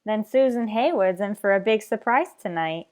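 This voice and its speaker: A woman's voice: mid-range voice, expressive, moderate pace, a little mellow, close to an everyday voice. A woman playing a sassy, vain tavern girl with a tart, skeptical manner in an old-time radio comedy.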